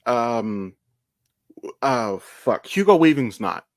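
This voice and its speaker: A man talks as a podcast co-host in a medium-pitched voice. He lays out his fan opinions in casual, sweary chat, with very little room sound around him. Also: big pitch swings, takes it slowly, no room sound, studio quality. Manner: sweary, explanatory fan talk. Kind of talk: casual chat, opinionated pop-culture commentary.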